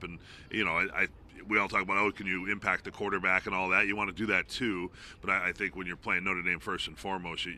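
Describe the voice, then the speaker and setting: A man's voice: low voice, bright, forceful tone, slight background noise. A man who works as a play-by-play announcer, giving informal, discursive sports analysis as an interview guest.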